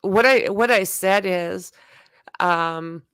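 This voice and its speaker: A woman co-hosting a casual talk show, agreeable and quick to laugh. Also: mid-range voice, a bit bright, smooth, no room sound, studio quality. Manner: unhurried, agreeable, laughs often. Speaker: co-host. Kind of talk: casual chat.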